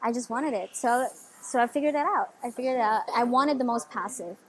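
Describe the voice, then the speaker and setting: A woman's voice: on the high side, fairly quick, a little hiss or hum, low-quality recording. A woman in her twenties from the Philippines, telling her story eagerly in a casual interview, with lots of "like" and "you know". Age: 20s. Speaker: interview guest.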